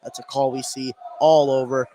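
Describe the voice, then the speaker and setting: A man's voice: medium pitch, little pitch movement, normal speed, warm tone, slight background noise. A man doing live play-by-play commentary of a basketball game on the radio.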